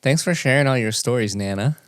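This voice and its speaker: A man's voice: mid-range voice, expressive, a little fast. A young man hosting a podcast, interviewing his grandmother with short, encouraging replies and easy laughs. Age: young adult. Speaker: podcast host, her grandson. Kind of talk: casual family interview.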